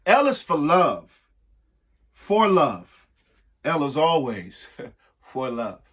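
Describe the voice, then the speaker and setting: A husky-voiced man reading a rhyming picture book aloud expressively, emphatic, with pauses between short phrases. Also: on the high side, very animated, fairly bright tone. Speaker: reader of a children's picture book. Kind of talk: expressive read-aloud of a rhyming alphabet book with spoken asides.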